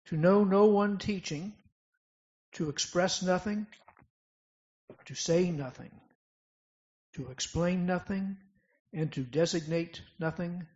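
A man's voice: high voice, slow pace, long pauses, a little mellow. A man playing a wise, commanding bodhisattva in an amateur dramatic scripture reading, solemn and declamatory. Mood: solemn, emphatic. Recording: dull lo-fi recording.

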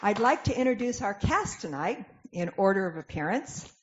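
A woman's voice: medium pitch, very animated, moderate pace, over a noisy crowd. A woman narrator giving formal scripted narration and announcements for a dramatic reading. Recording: dull lo-fi sound, clear background noise.

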